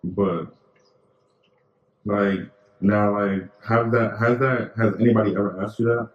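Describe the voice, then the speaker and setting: Young guy rambling on a podcast, lowish voice, kinda lo-fi and echoey. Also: fairly even intonation, measured pace, slight background noise. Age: young adult. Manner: loose, rambling, heavy slang and fillers. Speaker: podcast co-host. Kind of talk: casual chat.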